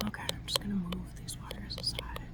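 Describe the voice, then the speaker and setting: A woman whispering breathily in a soft, soothing, halting way as she improvises an ASMR roleplay as a gentle scientist. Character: gentle scientist tending a robot. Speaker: ASMR performer.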